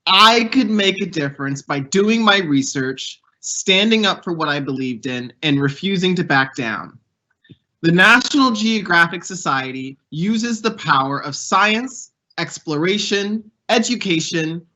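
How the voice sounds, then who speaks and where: Bright-voiced young man on a muffled call. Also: fairly high pitch. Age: young adult. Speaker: youth program fellow hosting a panel. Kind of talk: prepared speech and interview.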